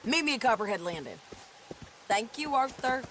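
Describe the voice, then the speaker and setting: A woman's voice: fairly high pitch, very animated, normal speed, fairly bright tone, slight background noise. An actress playing a blunt, determined frontier gunwoman, in a video game cutscene. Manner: blunt, commanding.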